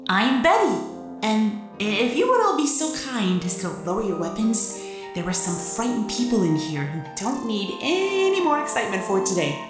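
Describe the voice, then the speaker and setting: Roomy echo, giant female robot, voiced by a woman, highish animated voice, polite yet assertive, audio drama, music behind. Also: normal speed, natural voice. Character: huge seven-foot robot woman, polite, determined, heroic.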